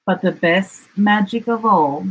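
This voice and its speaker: Husky, unhurried Australian woman storyteller. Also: medium pitch, rough recording. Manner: expressive, deliberate dramatic emphasis. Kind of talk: children's picture book read-aloud.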